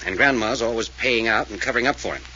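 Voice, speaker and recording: An actor playing a dry, no-nonsense detective with a wry manner, in 1940s radio acting style, on an old dull recording. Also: male voice, mid-range voice, quick pace, pushed and bright. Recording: dull old radio transcription with faint hiss.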